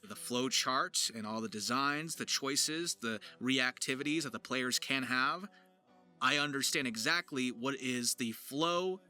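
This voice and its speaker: A man presenting a voice acting tutorial to viewers in an animated, enthusiastic explaining manner. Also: mid-range voice. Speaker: voice actor, writer and video presenter. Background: music.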